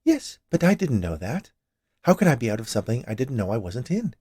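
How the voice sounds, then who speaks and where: A man's voice: medium pitch, very animated, fairly quick, mellow, husky, no echo, clean studio sound. A man reading a story, voicing a cheerful, chatty woman who keeps a confectionery shop and naively argues. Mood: puzzled, insistent. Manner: annoyingly cheerful, rattling off lists.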